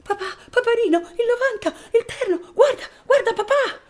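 A reader voicing little boys who shriek excitedly for their father. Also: moderate pace, faint music behind. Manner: shrill, shrieking.

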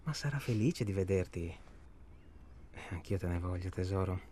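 An actor playing a nervous, chatty middle-aged husband in a naturalistic radio drama, hesitant and rambling, repeating himself and seeking agreement. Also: male voice, sits low, expressive, moderate pace, warm tone, breathy edge. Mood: reassuring, tender.

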